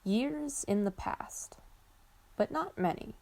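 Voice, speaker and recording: A woman's voice: fairly high pitch, lively intonation, takes it slowly, creaky, a little mellow. A woman reading scripted fiction as a narrator, in a dramatic storytelling style.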